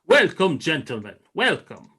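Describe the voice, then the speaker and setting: A man's voice: on the high side, sing-song, a little slow, sharp tone, breathy edge, heavily acted. A man playing a courtly Russian frigate commander in a radio play, formal, gracious and grand in manner. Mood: gracious, proud. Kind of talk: radio play acting, 1940s style.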